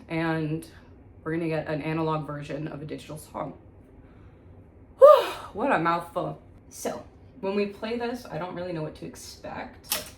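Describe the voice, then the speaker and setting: Low-pitched woman in an echoey room. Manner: chatty, informal, thinking aloud. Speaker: musician giving a tutorial. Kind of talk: casual hands-on demonstration. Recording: echoey room, faint background noise.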